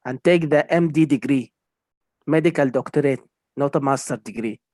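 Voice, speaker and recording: A man's voice: medium pitch, moderate pace, darkish tone, dry recording, studio quality. A man, a neurosurgeon, talking hesitantly in broken English on a video call. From Sudan. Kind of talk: casual video call chat.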